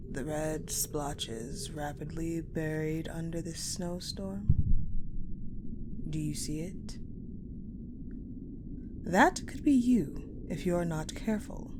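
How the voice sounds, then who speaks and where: A woman playing a sadistic, ice-powered female general in an audio roleplay, coldly menacing and controlling, deliberate and taunting, often dropping to a whisper. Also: lowish voice, background noise. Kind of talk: dramatic audio roleplay acting.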